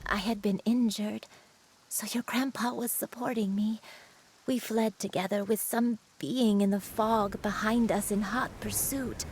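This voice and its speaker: A woman voicing a frail, gentle old grandmother in a dramatic video game, telling her story in a tender, halting, breathy way. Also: mid-range voice, fairly even intonation, poor audio. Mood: haunted, solemn.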